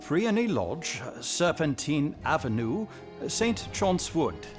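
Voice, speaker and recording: A man playing a stately, grand royal visitor in an audio drama, speaking in a deliberate, halting way. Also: fairly high pitch, sing-song, soft background music. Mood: anxious, stately.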